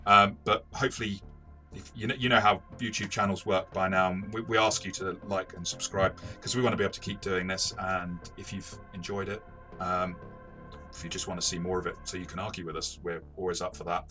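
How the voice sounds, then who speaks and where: A man's voice: low-pitched, steady tone, brisk, sharp tone, faint music behind. A man hosting a casual review discussion as a game master, phrasing his points thoughtfully and correcting himself as he goes.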